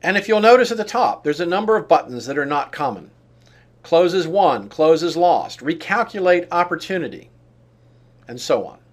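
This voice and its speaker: A man narrating an on-screen software demonstration, explaining each step in turn. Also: fairly high pitch, sing-song, moderate pace. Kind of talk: walkthrough tutorial narration of on-screen steps.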